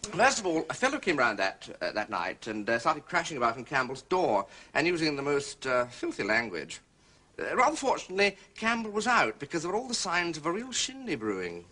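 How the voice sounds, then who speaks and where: An actor playing a blunt, slightly uneasy gentleman witness, clipped and defensive, in a period detective drama. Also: fairly high pitch, huge pitch swings, a little fast, full character voice. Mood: recounting.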